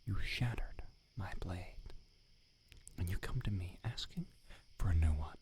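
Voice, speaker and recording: A man's voice: low-pitched, unhurried, darkish tone. A man whispering as a prickly swordsmith. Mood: exasperated. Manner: whispered, exasperated, sarcastic scolding. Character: prickly, irritable male village swordsmith. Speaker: ASMR voice actor. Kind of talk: roleplay ASMR, whispered.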